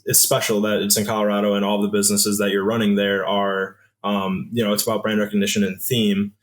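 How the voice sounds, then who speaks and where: Low-voiced male podcast host. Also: brisk. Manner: relaxed questioning with fillers. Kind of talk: casual podcast interview.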